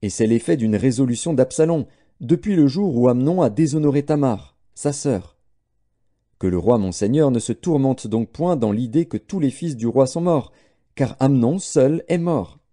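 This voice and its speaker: A man's voice: mid-range voice, lively intonation, moderate pace, dark tone, natural voice. A man voicing an earnest, deferential courtier reassuring his king in a scripture audiobook. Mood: earnest reassurance. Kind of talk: scripture storytelling.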